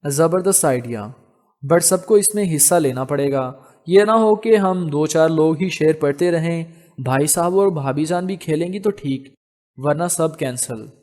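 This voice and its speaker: A man's voice: fairly high pitch, measured pace, a little mellow, close to an everyday voice. A man voicing a genial, decisive older uncle, the affectionate head of a family, in an audio novel. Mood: enthusiastic, decisive. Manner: fatherly, decisive. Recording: long hall reverb on voice.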